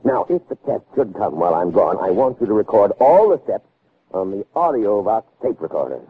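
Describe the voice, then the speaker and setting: Animated, proud middle-aged scientist. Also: male voice, lowish voice, fairly quick, slightly rough. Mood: assured, persuasive. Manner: emphatic, authoritative lecturing. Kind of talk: 1960s radio drama acting. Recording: narrow, phone-like old radio recording.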